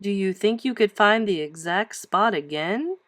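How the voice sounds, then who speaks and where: A polite gentleman scholar from a storybook, put on by a woman reader. He sounds courteous and admiring, with a lively, varied melody. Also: mid-range voice, a little slow, no echo.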